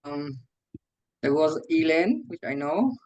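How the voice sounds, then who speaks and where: A man's voice: fairly high pitch, very animated, slow, a little mellow. A man who organizes the meetup, prompting the speaker casually about who should talk next on a video call. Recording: dull lo-fi call audio.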